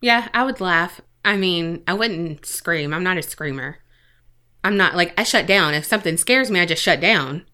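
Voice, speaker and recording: Bright, forceful woman bantering bluntly. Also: lowish voice, lively intonation, normal speed. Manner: blunt, self-deprecating. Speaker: podcast co-host. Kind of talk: casual, profane banter.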